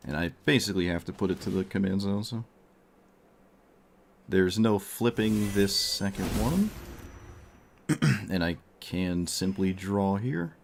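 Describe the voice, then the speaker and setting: A man's voice: sits low, lively intonation, unhurried, creaky, pauses often, a little hiss or hum. Man muttering through a card game. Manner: muttering, thinking aloud. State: losing his voice. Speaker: streamer. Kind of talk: casual gameplay commentary.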